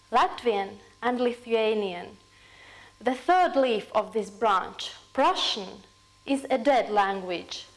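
A young woman explaining to the camera, slowly and carefully, indoors on a lapel mic. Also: fairly high pitch, low-quality recording. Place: indoor room.

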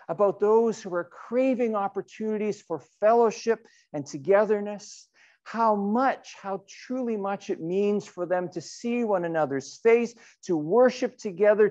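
A middle-aged man, a pastor, giving a sermon in an earnest, deliberate way with rhetorical questions and repetition. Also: high voice, darkish tone, lo-fi sound. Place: indoors in a church sanctuary.

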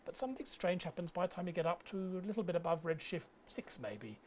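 A man's voice: sits high, big pitch swings, fast talker, darkish tone, a little hiss or hum. A middle-aged man lecturing on science in a conversational way, explaining step by step. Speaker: astronomy lecturer. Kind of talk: conversational science lecture in a two-person dialogue.

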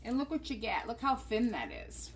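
A woman's voice: fairly high pitch, animated, moderate pace, a little hiss or hum. A woman from the United States chatting casually as she walks viewers through a cooking demonstration in her home kitchen, recorded on an overhead camera. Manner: chatty, talks viewers through each step. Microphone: camera mounted above the counter.

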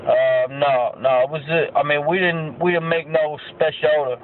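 A man's voice: on the high side, steady tone, moderate pace. Slangy complaining man, thin phone-like sound. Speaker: prank caller. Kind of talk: prank phone call. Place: inside a parked car. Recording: muffled phone-like sound, clear noise.

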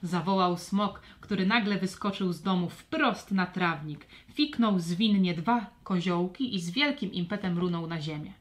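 A woman narrating a scripted children's audio story with expressive, storybook delivery. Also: fairly low pitch, unhurried, hardly any pauses.

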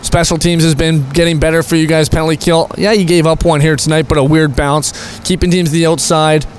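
A man's voice: on the high side, level tone, fairly quick, a little hiss or hum. A young man hosting a postgame interview beside an indoor hockey rink, holding a handheld mic. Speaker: team broadcaster interviewer. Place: indoor hockey arena rinkside.

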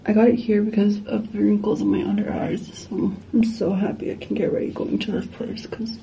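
A woman's voice: medium pitch, level tone, brisk, creaky, mellow, distant mic, muffled recording, slight background noise. A young woman in her twenties talking casually to her camera in a small hotel room, rambling and saying "like" a lot. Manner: chatty, rambling, heavy use of "like". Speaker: vlogger. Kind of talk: casual vlog chat to camera. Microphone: handheld camera close up.

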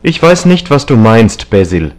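A man's voice: fairly low pitch, big pitch swings, measured pace, a little mellow. A man voicing a callous young dandy in an audiobook novel, haughty, emphatic and defiant. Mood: cold, irritated.

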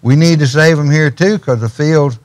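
A man's voice: a little high, normal speed, a little mellow. An older man, a ministry guest on a TV studio set, telling stories in a folksy way and building to urgent questions. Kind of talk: storytelling in a studio interview.

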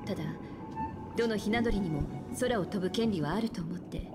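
A woman playing a calm, self-possessed, elegant young woman in a Japanese game, with cool, feminine phrasing. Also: medium pitch, brisk, lightly acted. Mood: calm, matter-of-fact. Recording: loud game music behind the voice.

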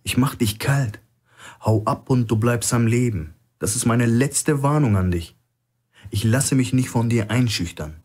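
A man's voice: medium pitch, unhurried, a little mellow, voice pushed up, close to an everyday voice. A man voicing a desperate, cornered bank manager in an audiobook thriller, calling out threats and pleas. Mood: threatening.

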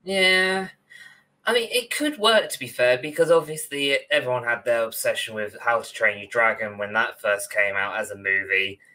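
Young guy chatting on a podcast call, bright clear-cut voice, casual. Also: mid-range voice, normal speed. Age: young adult. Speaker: podcast co-host. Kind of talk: casual discussion. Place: indoor room on a video call.

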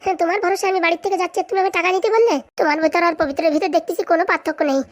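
A woman's voice: very high voice, very fast. A woman voicing a bossy, cunning young sweetheart in a comic cartoon, scolding and brash. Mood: indignant, scolding.

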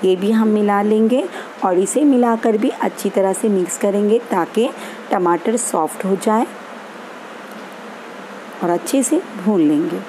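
A woman hosting a home cooking channel, calmly explaining each step of a recipe in an easygoing, instructive way. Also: mid-range voice, a little slow, long pauses, a little hiss or hum, low-quality recording. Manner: easygoing and instructive, repeats steps. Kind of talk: home cooking tutorial voice-over. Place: home kitchen.

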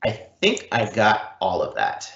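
A man with a fairly low voice explains technical material as the presenter of an online Q&A. The audio is roomy and somewhat dull, like call audio from a reverberant room. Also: wildly swooping pitch, a little slow, creaky, a bit bright. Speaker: presenter answering audience questions. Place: indoor room, live online event.

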